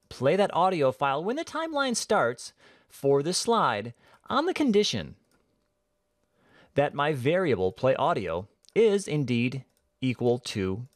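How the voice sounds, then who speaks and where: A man giving a casual, conversational software tutorial, explaining each step as he goes. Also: a little high, no room sound, studio quality.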